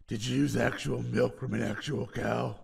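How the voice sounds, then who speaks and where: A man voicing a gruff, bloodthirsty, exasperated demon war god in a comic dub, gravelly and sardonic. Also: mid-range voice, steady tone, moderate pace, reverberant.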